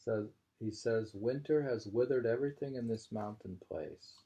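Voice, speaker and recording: Male poetry reader, low-pitched and husky, unhurried and musing, with informal asides between verses. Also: mellow, dull audio. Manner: halting, musing, thinking aloud. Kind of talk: poetry reading with informal commentary.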